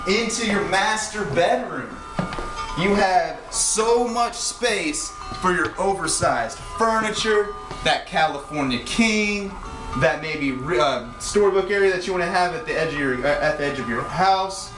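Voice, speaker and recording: A man's voice: high voice, animated, unhurried. A young man, giving an upbeat sales tour of a show home. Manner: enthusiastic, pushy salesman patter. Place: inside an empty show home. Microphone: handheld camera from a few steps away. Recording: echoey rooms, mic at a distance, music behind.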